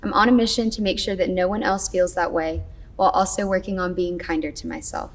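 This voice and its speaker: A woman's voice: lowish voice, fairly even intonation, a little fast, recorded from a distance. A woman student delivering a scripted personal monologue some way from the microphone. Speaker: student speaker.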